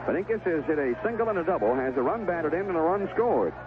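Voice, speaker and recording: An American male sportscaster calls a ballgame with a very lively, swooping delivery. He speaks in short, conversational phrases. The sound is a vintage radio broadcast, thin and phone-like, with clear noise behind him. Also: fairly high pitch, quick pace. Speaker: baseball play-by-play radio announcer. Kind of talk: live sports commentary. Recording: vintage 1970s AM radio broadcast, tinny, noisy.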